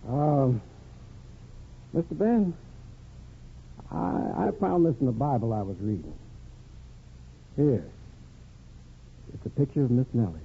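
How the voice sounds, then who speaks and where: A gentle, devout servant in an old radio play. His voice is very husky and swoops up and down. He speaks hesitantly and deferentially over a tinny, phone-like broadcast recording. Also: medium pitch, animated, slow pace, long pauses, full character voice. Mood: hesitant, tender. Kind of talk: 1950s radio drama acting.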